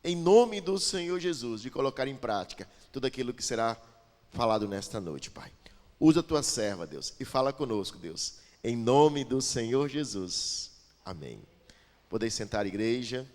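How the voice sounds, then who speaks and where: A man's voice: medium pitch, expressive, moderate pace, darkish tone, big-room sound. A man pastor introducing a guest preacher and leading a fervent prayer to the congregation, repeating invocations of the Father and God. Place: church sanctuary with a PA.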